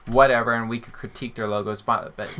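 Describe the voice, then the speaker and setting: A man's voice: medium pitch, level tone, fast talker, sharp tone, a little hiss or hum. A man hosting a podcast in casual conversation, explaining his points emphatically. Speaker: podcast host. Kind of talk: casual chat.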